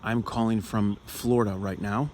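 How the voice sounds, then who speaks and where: A man with a fairly low voice makes an earnest phone plea. His delivery is deliberate and halting, with emphatic words. Some noise sits behind him on the amateur recording. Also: steady tone, a little mellow. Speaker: caller, activist in health and healing. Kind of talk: earnest phone call to a political office.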